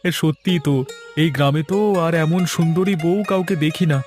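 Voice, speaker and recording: A man voicing a doting, flattering and mocking village husband in a cartoon, with an exaggerated wheedling manner. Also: on the high side, a little fast, lightly acted. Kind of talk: animated cartoon voice acting. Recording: music under the voice.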